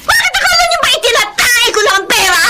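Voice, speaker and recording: An actress playing a young, overworked breadwinner elder sister in a radio drama, emotional and worn down. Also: female voice, very high voice, sing-song, fast, very noisy. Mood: angry, hurt. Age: young adult. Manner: emotional, tearful, pleading outbursts. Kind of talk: Tagalog radio drama acting.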